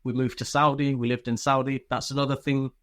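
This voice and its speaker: A man's voice: mid-range voice, level tone, fairly quick, fairly bright tone. A man telling personal stories casually as an interview guest, chatty and informal, swearing freely and often chuckling. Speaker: interview guest, former soldier.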